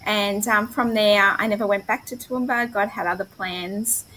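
A woman with an Australian accent talks casually about her own life as an interview guest. Her voice is mid-pitched, with faint noise behind it. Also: fairly even intonation, normal speed, pushed and bright, low-quality recording. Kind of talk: casual personal storytelling. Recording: clear background noise.

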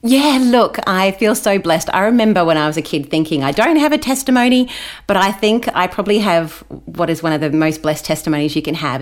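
A woman, born in New Zealand, chatting as an interview guest and laughing often. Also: sits low. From New Zealand, raised in Australia. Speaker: guest, children's Bible story host. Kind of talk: casual interview chat.

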